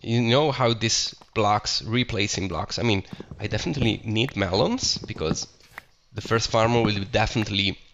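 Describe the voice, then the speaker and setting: A man's voice: lowish voice, normal speed, gravelly, a bit bright, slight background noise. A young adult man from Italy with an Italian accent, chatting casually and enthusiastically as he narrates his Minecraft gameplay, often restarting his phrases. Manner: chatty, self-correcting, enthusiastic about the game. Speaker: Minecraft let's play host. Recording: slightly dull lo-fi audio with music behind.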